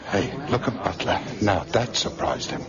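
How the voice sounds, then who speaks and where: An actor playing a matter-of-fact British police detective in a radio drama, brisk and conversational. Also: male voice, lowish voice, muffled recording, over a noisy crowd, natural voice. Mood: amused.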